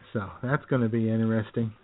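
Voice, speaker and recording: A man co-hosting a casual comedy podcast, wry and self-described cynical, often clearing his throat. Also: lowish voice, slight background noise. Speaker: podcast co-host. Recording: tinny phone-like audio.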